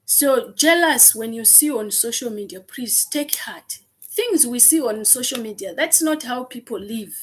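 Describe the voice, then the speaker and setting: A woman with an African accent chatting on a live stream, animated and acting out gossipy voices. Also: fairly high pitch, a little slow, husky, low-quality recording. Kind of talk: casual chat on a live stream.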